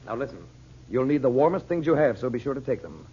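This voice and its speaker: A man playing a steady adult protector who guards a secret, in an earnest, firmly reassuring 1930s radio drama style. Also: medium pitch, quick pace, a little hiss or hum, close to an everyday voice. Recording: muffled old radio recording.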